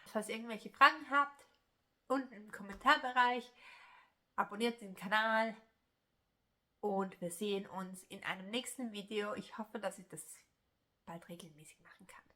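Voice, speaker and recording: A young woman with a Swiss German accent talks through her crochet vlog, her voice bright and clear. She chats on loosely, with plenty of hesitations and restarts. Her first language is Italian. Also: mid-range voice, moderate pace, long pauses. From German-speaking Switzerland. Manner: chatty, rambling, many filler sounds and restarts. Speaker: crochet YouTuber. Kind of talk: casual vlog chat.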